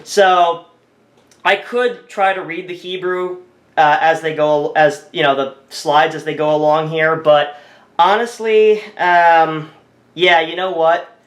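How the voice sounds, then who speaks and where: A man's voice: on the high side, steady tone, slow, a bit bright. Rambling man in an echoey room. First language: English. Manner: rambling, self-correcting, thinking aloud. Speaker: tech video creator. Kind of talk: casual screen-recording commentary.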